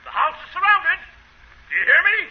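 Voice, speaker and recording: High, brusque American police captain. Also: male voice, lively intonation, fairly quick. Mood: barking. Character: brusque, commanding police captain barking orders. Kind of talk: 1930s radio comedy acting. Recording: muffled, noisy 1930s radio recording.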